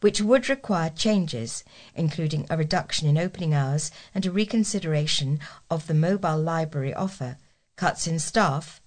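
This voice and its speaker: A woman's voice: low voice, darkish tone. A woman reading local news aloud as a volunteer newsreader, formally and with care.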